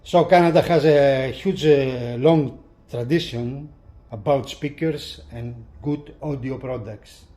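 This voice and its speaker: Male reviewer, relaxed tone, slow and halting, off-the-cuff walkthrough style. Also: medium pitch. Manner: halting, hesitant delivery with repeated phrases. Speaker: product reviewer and presenter. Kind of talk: casual unscripted product walkthrough.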